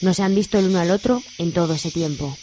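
A woman's voice: lowish voice, fast talker, noisy background. A woman with an accent from Spain, reading scripted narration for a radio serial.

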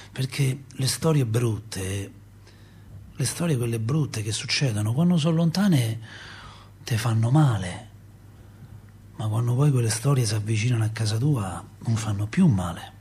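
An actor playing a talkative middle-aged working-class Roman father, a doorkeeper, in an expressive, rambling radio-play monologue with a Roman accent and a husky voice. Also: lowish voice, a little hiss or hum, natural voice. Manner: expressive, rambling, repeats himself and asks rhetorical questions. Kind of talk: theatrical radio monologue, colloquial storytelling.

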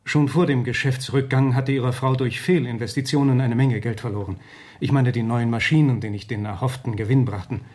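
A man's voice: mid-range voice, steady tone, darkish tone, lightly acted. Actor as a formal, sober male lawyer. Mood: grave, factual. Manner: polite, measured, matter-of-fact. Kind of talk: radio drama acting.

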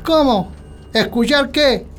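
A man's voice: very high-pitched, expressive, takes it slowly, fairly bright tone, exaggerated voice. A man acting a stubborn, skeptical rural husband in a radio drama, with colloquial Chilean speech. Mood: agitated, reassuring. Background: prominent music.

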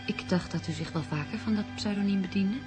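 A woman's voice: mid-range voice, brisk, lightly acted. An actress as an earnest young woman, music behind. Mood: polite, curious. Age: young adult. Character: earnest young female maths teacher, polite, sensitive and apologetic. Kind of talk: radio drama dialogue. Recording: radio play with music underneath.

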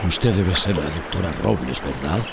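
An actor playing a steady, courteous village man in a radio soap. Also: deep voice, moderate pace, creaky, very noisy. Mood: courteous, businesslike. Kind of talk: radio soap opera acting.

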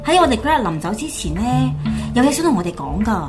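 A woman's voice: medium pitch, very animated, fast, music in the background, lightly acted. A woman playing an eager, coaxing wife in a radio drama, chatty and persuasive. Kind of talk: Cantonese radio drama acting.

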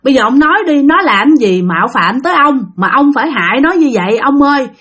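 A woman's voice: sits high, animated, a little fast, fairly bright tone, voice pushed up. A woman voicing a frightened grandmother who begs a spirit to spare her daughter. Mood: pleading. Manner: pleading, tearful.

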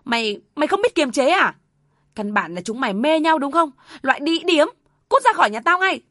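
A woman voicing an angry, shrill older mother-in-law who scolds and wails. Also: lively intonation, a little fast, fairly bright tone, no echo, clean studio sound. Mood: furious.

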